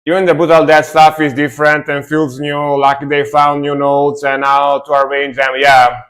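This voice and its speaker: A young adult man casually chatting with his stream chat while reacting to metal music, relaxed and talkative. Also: fairly high pitch, moderate pace. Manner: relaxed, chatty, answers chat, fillers and restarts.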